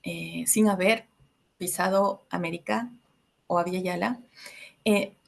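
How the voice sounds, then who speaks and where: Gravelly-voiced woman from Ecuador, hesitant. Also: fairly low pitch, steady tone, slow pace. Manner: reflective, many filler hesitations. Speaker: workshop facilitator. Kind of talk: educational talk to a group.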